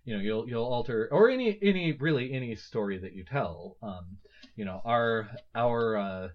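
A man's voice: mid-range voice, animated, moderate pace. A man in his thirties hosting a casual book-discussion podcast, thinking aloud with many fillers and restarts. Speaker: podcast host. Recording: slightly muffled lo-fi audio.

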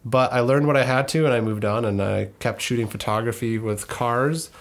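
A man's voice: mid-range voice, normal speed. A thirty-year-old man from northern Minnesota co-hosting a casual podcast, rambling a bit and correcting himself with frequent ums. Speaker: podcast co-host.

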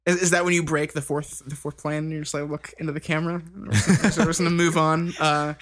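Rambling thirty-year-old man, higher voice. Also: little pitch movement, fairly quick, a bit bright. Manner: rambling, with many fillers and restarts. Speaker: band member co-hosting a podcast. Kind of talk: casual podcast chat.

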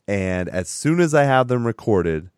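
Man, podcast host, hoarse, raspy, fairly low voice, chatty, dry recording. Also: sing-song, measured pace, a little mellow, no echo. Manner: chatty, rambling, laughs often. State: hoarse, voice strained from sickness. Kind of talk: casual podcast chat.

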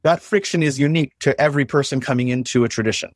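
A man's voice: mid-range voice, fast talker, no echo, studio quality. Reflective man asking rhetorical questions. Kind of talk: casual discussion.

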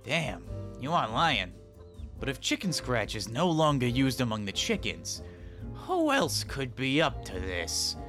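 A man playing a righteous chimp police detective in a comic noir audio drama, with dramatic, forceful delivery. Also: medium pitch, wildly swooping pitch, measured pace, soft background music. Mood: probing. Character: hard-boiled animal police detective, a chimp, righteous and theatrical.

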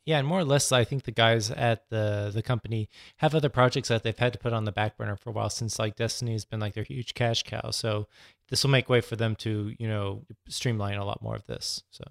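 A man's voice: lowish voice, fairly even intonation, a little fast, gravelly, no room sound, clean studio sound. A man chatting casually as a podcast co-host. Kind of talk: casual chat.

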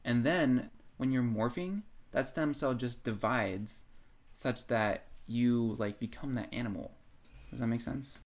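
Male co-host, medium pitch, informal talk, speculative and conversational. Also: unhurried. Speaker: podcast co-host. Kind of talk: casual speculative discussion.

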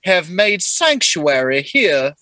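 A man voicing a lewd, old-fashioned Southern gentleman with a florid, courtly drawl in an improvised comedy role-play. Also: sits high, big pitch swings, slow, dry recording, exaggerated voice. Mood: grandiose.

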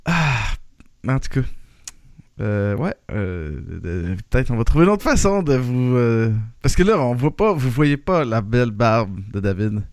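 Man, quebec french accent, podcast host, very lively pitch, dry close sound, rambling chat. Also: a little slow, no echo. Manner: rambling, animated, many restarts and laughs.

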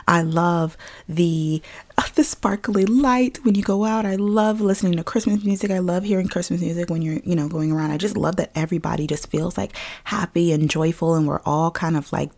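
Low, raspy young woman hosting a podcast. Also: darkish tone. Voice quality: raspy, slightly deeper than usual. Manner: chatty, laughs often, uses fillers like "like" and "um". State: scratchy throat. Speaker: podcast host. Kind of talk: casual solo podcast chat. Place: at home, filming herself.

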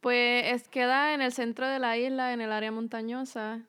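A young woman from Puerto Rico with a Puerto Rican accent, a university student answering questions in a podcast interview, measured and pausing on many fillers. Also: fairly high pitch, level tone, a bit bright, smooth. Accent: Puerto Rican Spanish. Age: young adult.

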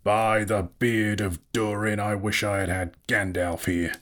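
A man voicing a proud, bitter dwarf king in a dramatic storybook reading, gruff and imperious, declaiming slowly and scornfully. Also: sits low. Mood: raging.

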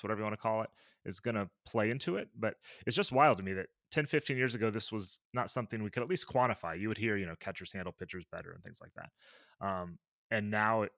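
A man's voice: mid-range voice, gravelly, a bit bright, no room sound. A man co-hosting a podcast in easygoing casual chat, thinking aloud. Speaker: podcast co-host.

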